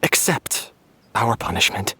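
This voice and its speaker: A man playing a resigned, contrite young man accepting his fate, husky and apologetic, in a video game. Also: fairly low pitch, big pitch swings, a little slow, pushed and bright, slight background noise, full character voice. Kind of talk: dramatic video game acting.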